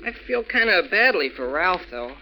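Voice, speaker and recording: A man's voice: high voice, very animated, moderate pace, bright, forceful tone, a little hiss or hum, heavily acted, poor audio. A man playing an eager, slangy boy cub reporter in a breathless 1940s radio drama style. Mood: excited, earnest.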